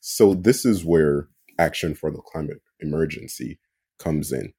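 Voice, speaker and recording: A man with a husky voice, a nonprofit guest explaining things casually in an interview. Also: rumbling low, unhurried, mellow. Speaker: guest, nonprofit board member.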